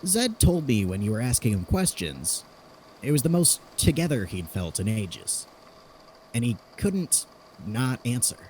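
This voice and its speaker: A man's voice: medium pitch, sing-song, unhurried, darkish tone, slight background noise. A man playing a curious, analytical theorist in an audio drama, conversational and inquisitive. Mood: intrigued, careful.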